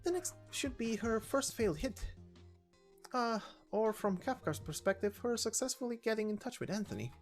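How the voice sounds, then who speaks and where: A playful, conversational video game character, acted by a woman. The voice is lifted well above the reader's usual low one and has a light, bantering manner, with soft music behind. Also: animated, normal speed. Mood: playful, curious. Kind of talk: voiced visual novel story reading.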